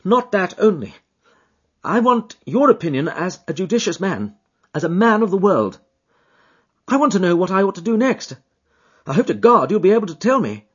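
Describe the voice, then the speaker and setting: A man voicing a distressed, reserved man in his thirties who speaks in sharp, jerky outbursts. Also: high voice, big pitch swings, moderate pace, pauses often, husky, lo-fi sound, pitched above the performer's own voice, heavily acted. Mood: distraught.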